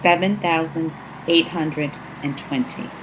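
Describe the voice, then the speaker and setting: Stern, low-voiced female fighter acted by a woman. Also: steady tone, measured pace, noisy background. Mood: stern, formal. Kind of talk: stage drama, declaimed lines. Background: music.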